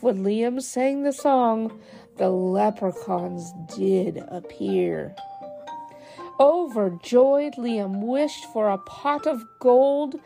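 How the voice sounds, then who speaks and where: Bright-voiced woman narrating a children's folk tale. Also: a little high. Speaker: storyteller.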